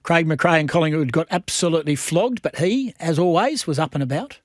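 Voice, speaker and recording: A man's voice: fairly high pitch, fairly quick, no room sound, studio quality. An Australian man hosting a sports radio discussion, introducing clips and putting questions to an analyst. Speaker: radio host.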